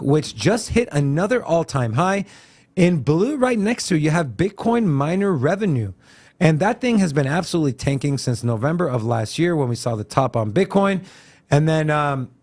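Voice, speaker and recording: A man hosting a crypto news show, walking through charts in a casual way and asking rhetorical questions. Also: fairly high pitch. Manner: lively, asks rhetorical questions, frequent "uh" fillers.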